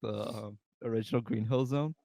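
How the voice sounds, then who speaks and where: A man in casual podcast conversation, building his ideas in a halting way with restarts. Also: on the low side, steady tone, gravelly, warm tone, no echo, studio quality. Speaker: podcast co-host. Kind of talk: casual chat.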